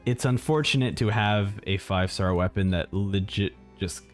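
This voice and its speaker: A man's voice: lowish voice, normal speed. A man streaming casual gameplay commentary, reacting in a wry, offhand way. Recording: faint game music behind.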